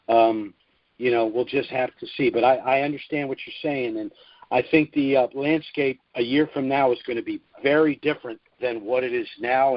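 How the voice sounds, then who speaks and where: A man hosting a call-in conference call, speaking off the cuff and haltingly over the telephone. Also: medium pitch, dry recording. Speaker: call-in show host. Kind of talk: unscripted conference call talk. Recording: dull lo-fi conference phone line.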